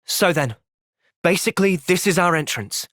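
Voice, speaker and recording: A young man playing an earnest, thoughtful young soldier hero in a fantasy video game's cutscenes, with expressive delivery. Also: high voice, moderate pace, no room sound, studio quality. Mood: resolute. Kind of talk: video game cutscene acting.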